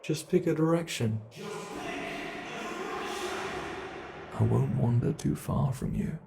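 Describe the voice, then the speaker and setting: A man's voice: medium pitch, vocal fry, loud music behind the voice. A man with a British accent playing a mocking male monster who hunts a human, in an ASMR horror roleplay. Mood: teasing. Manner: mocking, drawn-out coaxing, impatient. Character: teasing predatory male monster hunting a human.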